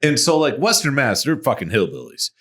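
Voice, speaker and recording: A man's voice: medium pitch, fairly quick. Guy from massachusetts on a podcast mic, swears a lot, voice jumps all over. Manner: swearing, animated storytelling. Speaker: podcast co-host. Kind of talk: casual chat.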